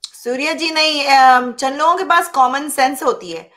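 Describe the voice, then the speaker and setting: Young woman host on a webcam call, pretty high voice, argues bluntly and fires off rhetorical questions. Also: normal speed, bright, forceful tone. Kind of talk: casual group video call debate. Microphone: webcam on video call.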